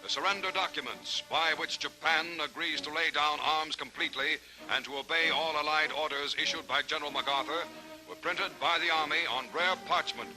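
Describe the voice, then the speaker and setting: A man's voice: on the high side, brisk, poor audio. A man with an American accent narrating a 1940s newsreel in a dramatic, formal announcer style. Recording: vintage newsreel soundtrack with loud music. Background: music.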